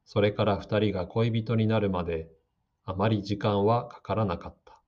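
A man giving slow, calm, scripted narration for a radio drama meant for learners. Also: fairly low pitch. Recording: dull lo-fi audio.

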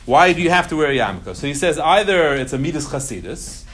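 A man gives an informal religious lesson to students. His voice sits slightly high. He explains emphatically, repeats key phrases and slips Hebrew and Yiddish terms into his English. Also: big pitch swings, fast talker, fairly bright tone, a little hiss or hum.

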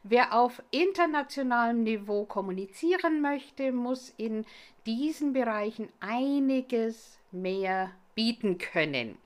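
Woman, middle-aged, native English speaker, podcast host, slow deliberate pace, measured explanatory delivery. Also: on the high side, expressive. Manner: measured, explanatory, lightly promotional. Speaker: language school owner and podcast host. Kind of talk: solo educational podcast monologue.

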